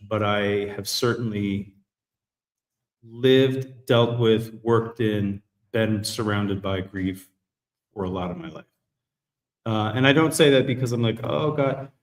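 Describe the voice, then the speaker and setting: A man hosting a live men's group talk show, chatting in a relaxed, rambling way. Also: fairly low pitch, reverberant. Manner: relaxed, rambling, self-correcting. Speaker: host. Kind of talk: casual live chat.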